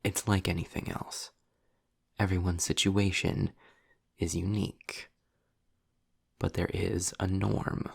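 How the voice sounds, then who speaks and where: A man playing a sarcastic, worldly demon in an intimate audio roleplay, speaking in a low, gravelly, breathy voice with dry, deliberate pauses, often slipping toward a whisper. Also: level tone, exaggerated voice. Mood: intent, instructive. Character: sarcastic, worldly male demon, trapped, grudgingly explaining.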